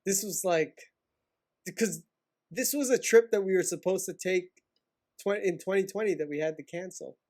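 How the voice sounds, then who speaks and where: A man in his thirties who grew up in Hawaii, co-hosting a casual podcast, excitable and quick to laugh. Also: high-pitched. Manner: excitable, laughs often, says "man" and "dude". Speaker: podcast co-host. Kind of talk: casual chat.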